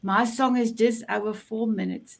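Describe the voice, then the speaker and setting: A woman's voice: fairly high pitch, steady tone, moderate pace, creaky. A South African woman whose first language is Afrikaans, hosting an online show over a video call and gushing with praise. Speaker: show host.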